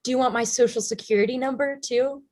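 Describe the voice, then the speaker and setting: A woman's voice: sits high, fairly even intonation, quick pace, a little mellow. A woman acting a flippant, nosy young woman. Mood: evasive. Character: Jane, nosy, evasive, flippant young woman, secretly tender. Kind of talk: staged reading of a play.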